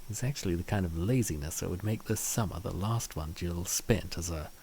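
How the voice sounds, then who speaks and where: A man's voice: deep voice, a little fast, creaky, darkish tone, a little hiss or hum. A man reading scripted story narration as the narrator of a comic dub.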